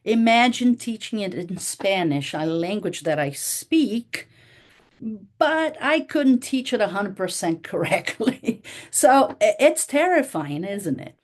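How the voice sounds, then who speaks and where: A woman's voice: mid-range voice, big pitch swings, slow pace. Portuguese-native woman running a teacher workshop, casual, lots of restarts and fillers. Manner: conversational, many "you know" fillers and restarts. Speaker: workshop presenter. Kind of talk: conference workshop talk.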